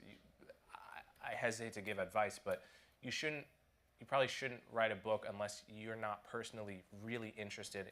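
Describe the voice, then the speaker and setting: A man on a conference panel talks about writing, his voice sitting a little low. He is thoughtful and careful, pausing and restarting phrases as he works out what he means. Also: sharp tone. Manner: thoughtful, halting with frequent restarts. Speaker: panel guest, author.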